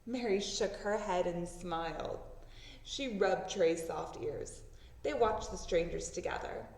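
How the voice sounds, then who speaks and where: A woman reading a children's story aloud, with expressive narration that acts out the words. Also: lowish voice, slow, creaky. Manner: expressive, acting out sound words. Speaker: storybook reader. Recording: roomy echo, distant microphone.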